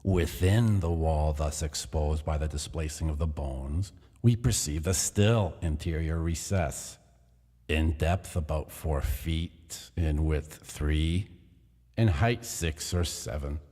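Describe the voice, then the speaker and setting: Deep-voiced man reading gothic horror. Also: takes it slowly, warm tone. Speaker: narrator. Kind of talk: dramatic reading of a gothic tale. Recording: long hall reverb.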